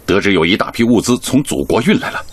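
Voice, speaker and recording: A man's voice: on the low side, animated, quick pace, slightly rough. A man acting a genial, busy hospital director in a Chinese radio drama. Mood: genial, businesslike.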